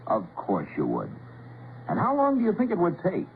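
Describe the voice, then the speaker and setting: A man's voice: sits high, huge pitch swings, normal speed. A man giving an earnest scripted public service address on old radio, putting rhetorical questions to the listener. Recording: tinny, faintly noisy old radio broadcast.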